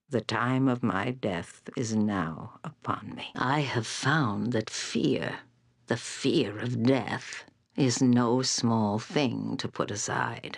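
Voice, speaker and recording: Elderly female seer, fantasy game, husky aged voice, solemn, portentous, weary, very dry close sound. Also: rumbling low, dry recording, heavily acted. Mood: resigned, solemn. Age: older. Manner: slow, grave, portentous. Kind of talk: dramatic fantasy game voice acting.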